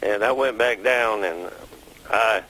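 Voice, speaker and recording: A man's voice: on the low side, slow pace, bright, forceful tone. A man in his nineties with a Southern US accent, recalling events in a plain-spoken phone interview. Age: 90s. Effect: phone call. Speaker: war veteran interviewee. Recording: telephone interview line.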